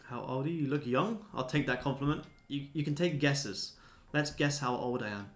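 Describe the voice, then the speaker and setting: A man's voice: mid-range voice, moderate pace. A man in his twenties chatting casually as a livestream host answering viewers, laid-back, blokey and sweary. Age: 20s. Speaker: livestream host, day trader.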